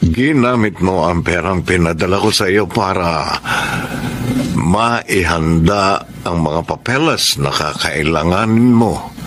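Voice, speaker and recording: A man playing an earnest, patient but wounded suitor in a Filipino radio drama, speaking in a measured, solemn way. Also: low-pitched, a bit bright, a little hiss or hum.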